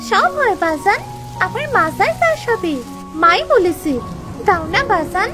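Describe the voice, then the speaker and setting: A performer voicing a dreamy, insistent little village girl in a cartoon, expressive and pleading. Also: extremely high pitch, measured pace, over music, exaggerated voice. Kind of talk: animated moral story acting.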